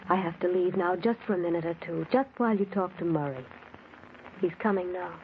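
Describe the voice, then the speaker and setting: An actress playing a mysterious, secretive woman who pleads in a measured, deliberate way in an old-time radio mystery drama. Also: lowish voice, phone-quality sound, lightly acted. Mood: entreating, calm. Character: mysterious veiled woman, softly entreating, secretive. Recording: noisy, band-limited old radio recording.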